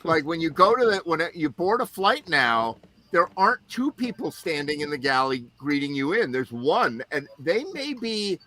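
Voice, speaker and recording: A man whose first language is German, co-hosting a casual chat show and telling stories in an animated way, with lots of restarts. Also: on the high side, normal speed, slight background noise. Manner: animated storytelling with frequent restarts.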